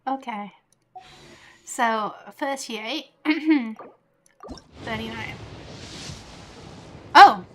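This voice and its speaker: Slow-paced woman gamer, chatting casually. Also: a little high, pushed and bright, a little hiss or hum. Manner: informal, chatty, thinking aloud. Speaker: game streamer. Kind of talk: casual live gaming stream commentary.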